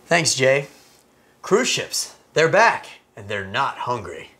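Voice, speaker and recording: A man's voice: mid-range voice, sing-song, takes it slowly, pushed and bright. A man in his thirties co-anchoring a mock news broadcast in an earnest, mock-serious reporter tone. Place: small studio set.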